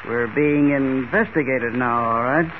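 An actor playing an incredulous airfield boss in theatrical, exclamatory 1940s radio drama style, on an old radio recording. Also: male voice, medium pitch, measured pace, close to an everyday voice. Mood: wry, worried. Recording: tinny, noisy 1940 radio recording.

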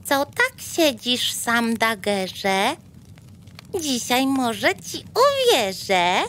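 A woman voicing an offended, sharp-tongued young female elf in a children's audio drama. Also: a little high, big pitch swings, extremely slow, full character voice. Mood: teasing. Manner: scolding, indignant.

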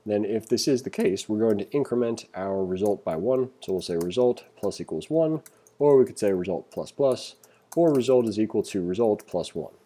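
A man with a low, very mellow voice leads an informal programming lesson. He thinks aloud as he goes, and his tone is husky and relaxed. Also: normal speed. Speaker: programming instructor. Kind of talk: casual coding tutorial, reading exercises aloud and thinking through code.

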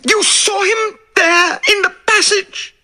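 A man voicing a hysterical, terrified middle-aged widow in a shrill, crying falsetto. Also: expressive, a little slow, sharp tone, heavily acted. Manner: screaming, gasping.

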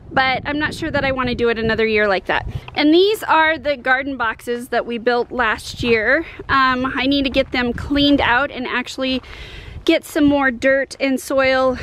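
Bright, high-voiced American woman vlogger. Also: a little hiss or hum. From Colorado, United States. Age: middle-aged. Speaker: homesteading vlogger and host. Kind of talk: casual vlog chat to camera. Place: outdoors in a yard, later in a parked or moving car. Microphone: camera at arm's length.

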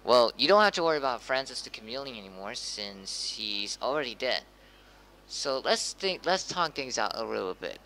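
Guy playing hesitant tv news anchor, bright voice, kinda stumbly and informal, bit of noise. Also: medium pitch, sing-song, rough recording. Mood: questioning, hesitant. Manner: halting, informal, stumbling over words. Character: hesitant local TV news anchor, adult man. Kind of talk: amateur acted news interview.

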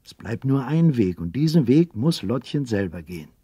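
Male story narrator for radio play: slightly deep, husky, dramatic, close and dry. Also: very animated, normal speed, dark tone, no room sound.

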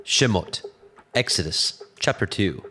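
A man narrating scripture from a script in a solemn, measured way. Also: low-pitched, expressive, bright, forceful tone. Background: music.

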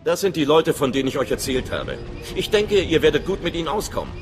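A man's voice: a little high, huge pitch swings, fast, echoey room. A man playing a nervous, friendly young undercover informant in a video game, chatty and informal with a touch of anxiety. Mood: eager, chatty. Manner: chatty, informal, slightly anxious. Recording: clear music behind the voice.

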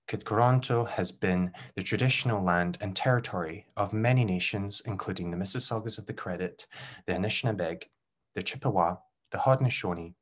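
A young Scottish man giving a recorded conference talk over a webcam, measured and careful, with frequent um fillers. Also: deep voice. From Scotland. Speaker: doctoral researcher presenting.

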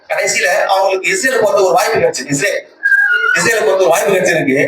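A man with a Tamil Nadu accent gives an earnest, emphatic religious testimony to a congregation, repeating phrases to stress them. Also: high-pitched. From Tamil Nadu, India. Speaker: church member giving a testimony, lay speaker. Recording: echoey, distant conference call with loud noise.

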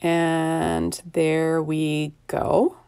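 Low, gravelly-voiced chatty crafting woman. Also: monotone, drawn-out words, dark tone. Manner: chatty, self-deprecating, talks while working. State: slightly rough voice after illness. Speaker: crafting tutorial host. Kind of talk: casual craft tutorial narration. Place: indoor craft desk. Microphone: overhead camera on craft table.

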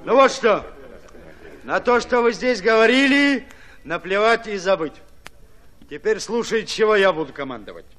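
A hot-tempered Red Army commander for a radio drama. His voice sits high and swings widely, with blunt, folksy orders and retorts. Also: lively intonation, moderate pace, long pauses, fairly bright tone. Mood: commanding. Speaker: actor in an audio drama. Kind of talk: Soviet-style radio play acting.

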